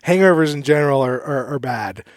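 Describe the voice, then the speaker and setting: A man in his mid-thirties talks into a studio microphone in a dry, close-sounding room. He jokes, laughs a lot and tells stories with animated energy. His pitch sits in a middle range. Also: brisk, no echo. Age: 30s. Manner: joking, laughs often, animated storytelling. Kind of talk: casual podcast chat.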